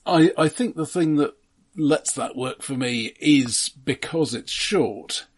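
A man co-hosting a podcast, discussing films casually in a measured, matter-of-fact, analytical way. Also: fairly high pitch, breathy edge. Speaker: podcast co-host.

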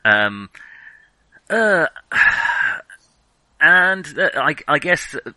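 A man co-hosting a casual film discussion, analytical and measured, often breaking off into hesitant asides. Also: a little high, sing-song, bright, forceful tone, dry recording. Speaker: film podcast co-host.

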